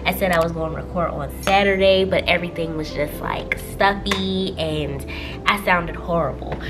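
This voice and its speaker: A woman's voice: lowish voice, moderate pace, music in the background. A woman with a stuffy cold voice, chatting casually and laughing often as she works through her budget planner on camera. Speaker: budgeting vlogger. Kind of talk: casual chat while working in a planner. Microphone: camera overhead on a desk.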